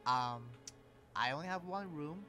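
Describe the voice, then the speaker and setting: A man's voice: a little high, animated, faint music behind, exaggerated voice. A man playing an earnest, sympathetic demon slayer, speaking hesitantly and reassuringly in an improvised anime roleplay. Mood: kind, hesitant. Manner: hesitant, halting, gently reassuring. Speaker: Minecraft roleplay player.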